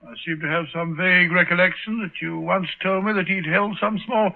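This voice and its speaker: A man acting a genial, slow-witted, hesitant detective's companion with a British accent, in classic radio drama style. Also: high-pitched, fairly even intonation, vocal fry. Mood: puzzled. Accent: British English. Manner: bumbling, hesitant. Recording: tinny, band-limited old radio recording.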